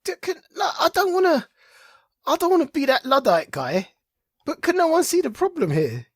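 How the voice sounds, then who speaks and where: A man's voice: extremely high pitch, sing-song, moderate pace, dry recording, clean studio sound. A man co-hosting a podcast, talking informally in casual chat and commentary. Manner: informal, conversational. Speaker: podcast co-host.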